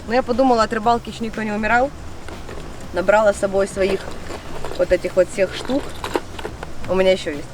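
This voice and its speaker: Female outdoor vlog host, mid pitch, nasal from a cold, enthusiastic and casual, clear background noise. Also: fairly even intonation, brisk, pauses often, distant mic. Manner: chatty, enthusiastic. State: congested from a cold. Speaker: fishing channel host. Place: outdoors by a fishing lake.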